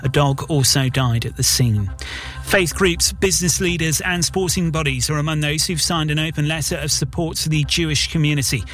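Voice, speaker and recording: A man reading the hourly radio news bulletin in a measured, formal way. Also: on the high side, fairly bright tone, soft background music. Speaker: radio newsreader.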